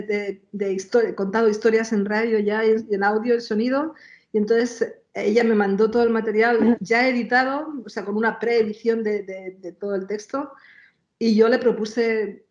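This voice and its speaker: Casting: woman composer in casual interview, fragmented phrasing with tag questions, echoey and slightly muffled sound. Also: a little high. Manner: informal, broken phrases, frequent tag questions. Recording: echoey room, dull lo-fi sound.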